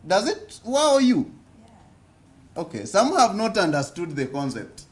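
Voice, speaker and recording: A man's voice: high-pitched, wildly swooping pitch, measured pace. A Kenyan man giving an interactive seminar talk at a business forum, often asking the audience questions and repeating his points. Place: conference hall.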